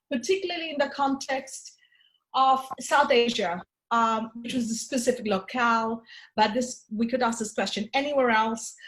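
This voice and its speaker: A woman's voice: on the high side, slight background noise, low-quality recording. A woman from Tanzania presenting her book at an academic forum, thoughtful and searching, with frequent restarts and fillers. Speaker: author and event host. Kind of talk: academic talk introducing a book.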